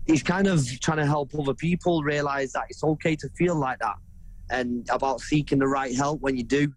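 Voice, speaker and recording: A man's voice: mid-range voice, steady tone, normal speed, low-quality recording. A man, a campaigner, talking candidly in an interview. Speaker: mental health campaigner.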